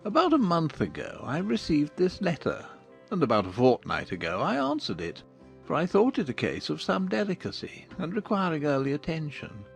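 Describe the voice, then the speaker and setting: A wry middle-aged family man, acted by a man for an audiobook drama. His measured, ironic voice has a gravelly fry and sweeps widely up and down as he teases. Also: on the high side, wildly swooping pitch, soft background music, full character voice. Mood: dryly teasing. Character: wry, teasing middle-aged husband and father.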